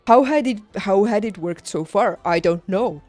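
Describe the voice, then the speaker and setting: A young adult woman chatting casually on a livestream, telling personal stories in an emphatic, animated and informal way. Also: mid-range voice, moderate pace, no room sound. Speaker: livestreamer, former nurse. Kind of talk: casual stream chat, personal storytelling. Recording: background music behind close dry voice. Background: music.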